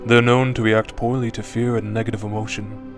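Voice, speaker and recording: A man playing a smooth, ominous shopkeeper. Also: fairly low pitch, little pitch movement, brisk, lightly acted. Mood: warning, firm. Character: mysterious calm shopkeeper of curiosities, quietly ominous. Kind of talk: radio drama acting. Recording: voice over prominent background music.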